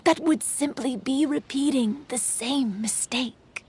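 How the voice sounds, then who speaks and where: A woman's voice: high voice, a little mellow, a little hiss or hum. A woman voicing a wise, sorrowful female yokai spirit, telling a tale solemnly in a game cutscene. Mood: grave. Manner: slow, solemn, melancholy telling.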